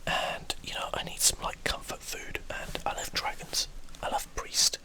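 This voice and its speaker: A person whispering casual ASMR gameplay commentary, breathy and unvoiced, thinking aloud as they play. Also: measured pace, sharp tone, rough recording. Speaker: gaming video host.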